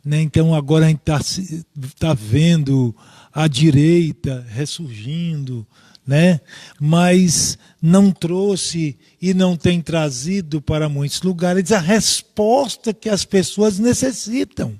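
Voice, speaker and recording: A man with a Brazilian accent teaching a Bible study in a deliberate, halting way, often checking in with listeners. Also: fairly high pitch, mellow, no room sound, clean studio sound. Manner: deliberate, halting, with frequent rhetorical check-ins.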